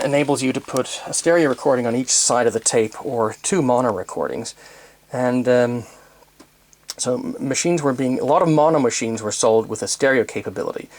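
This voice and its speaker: Man giving a halting technical demonstration. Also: medium pitch. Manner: hesitant, halting, methodical explaining. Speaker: presenter demonstrating vintage tape recorders.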